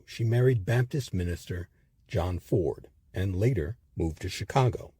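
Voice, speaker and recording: A man narrating a scripted history documentary in a measured, expressive storytelling manner. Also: on the low side, husky, dry recording.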